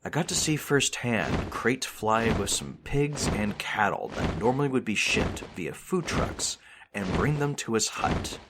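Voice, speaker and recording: A man's voice: mid-range voice, lively intonation, measured pace, noisy background. A man playing a showy news show host in an audio drama, speaking like a presenter with dramatic emphasis. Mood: showy, polished. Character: male TV news reporter, showy but easily rattled. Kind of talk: audio drama acting as broadcast narration.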